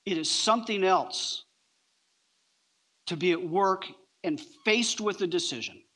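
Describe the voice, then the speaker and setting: A middle-aged man preaching a sermon on a church stage, emphatic, with deliberate pauses between short phrases. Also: high voice, pushed and bright. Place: large church auditorium, on stage.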